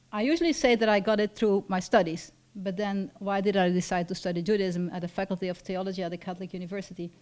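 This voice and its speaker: A woman in her fifties from Belgium with a Flemish accent, a lecturer reading a personal talk from notes at a lectern, measured and reflective, with frequent ums. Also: medium pitch, darkish tone, clear, resonant tone. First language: Dutch. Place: lecture hall stage at a lectern. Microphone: stand mic at a lectern.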